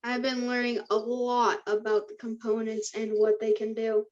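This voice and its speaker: A woman's voice: a little high, completely flat delivery, normal speed, a little mellow, lo-fi sound, natural voice. A woman reading the part of an evasive, tinkering kid boy in an amateur table read of a drama. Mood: casual, evasive.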